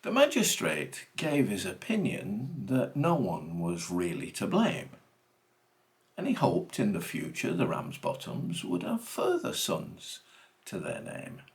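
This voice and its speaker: A man theatrically reciting comic verse with dramatic, deliberate phrasing. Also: mid-range voice, huge pitch swings. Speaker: reader of a comic monologue poem.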